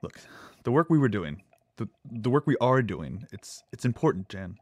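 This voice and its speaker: An actor playing a nervous, devoted lab assistant in an audio drama, speaking earnestly and with hesitant stammers. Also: male voice, medium pitch, very animated, normal speed, darkish tone.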